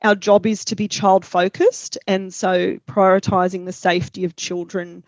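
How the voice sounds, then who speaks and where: A woman with an Australian accent, a parent helpline counsellor speaking calmly and reflectively in a webinar discussion. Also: mid-range voice, moderate pace, no echo, dull audio.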